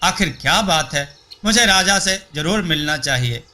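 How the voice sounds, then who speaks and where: Man voicing an earnest young man, bright and high. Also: animated, a little slow, full character voice. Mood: resolved. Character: earnest, confident young man offering to cure a king.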